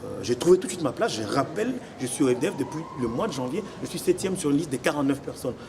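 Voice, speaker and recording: A young man from Congo who lives in Belgium, giving deliberate, explanatory interview answers as an election candidate. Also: fairly high pitch, expressive. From Congo, living in Belgium since 1984. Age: young adult. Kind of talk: semi-formal interview answers. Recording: noisy location with background ambience.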